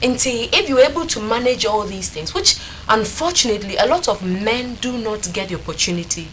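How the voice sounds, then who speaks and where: Higher-pitched Ghanaian woman, motivational panelist. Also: lively intonation, fairly quick, fairly bright tone, poor audio. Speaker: talk show panelist. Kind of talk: reflective, motivational studio discussion. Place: studio. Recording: faint noise in studio recording.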